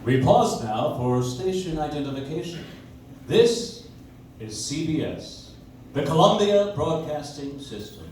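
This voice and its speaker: An actor playing a genial 1940s radio announcer with a polished sales patter. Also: male voice, fairly high pitch, lively intonation, a little slow, darkish tone. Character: genial radio announcer selling soap flakes. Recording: echoey, distant, noisy audience-member recording.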